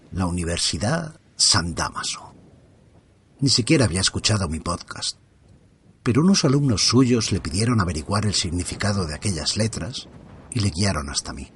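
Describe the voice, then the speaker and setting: A man with a very low voice tells a dark first-person mystery in a tense, hushed way. He slips in and out of whispers as the story builds. A faint hiss sits under his voice. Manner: hushed, suspenseful, drops into whispers. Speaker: horror podcast narrator. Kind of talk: scripted horror narration.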